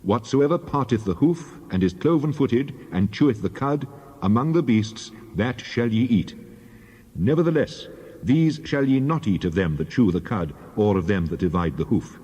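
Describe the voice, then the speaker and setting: Deep reverberant man reading scripture solemnly. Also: lively intonation, dark tone, husky. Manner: deliberate, declamatory. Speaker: Bible reader. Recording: long reverberant hall echo.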